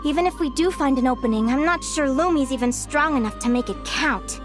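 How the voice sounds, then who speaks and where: A woman's voice: high voice, a little fast, close to an everyday voice. An actress playing an earnest, friendly young woman competitor, speaking over game music. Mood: determined. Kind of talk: game voice acting.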